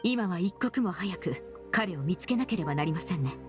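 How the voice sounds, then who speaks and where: A woman playing a composed, polite young investigator in a video game, formal, measured and analytical, used to giving orders. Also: fairly low pitch, expressive, music in the background, close to an everyday voice. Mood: commanding, calm. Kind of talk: Japanese game voice acting.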